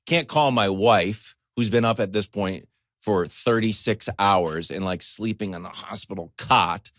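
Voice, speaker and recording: A man in his forties, a sports talk host, telling a comedic story in a casual, animated way with choppy asides and acted-out quotes. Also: on the low side, unhurried, no echo, clean studio sound. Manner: animated, acts out quotes in dialogue.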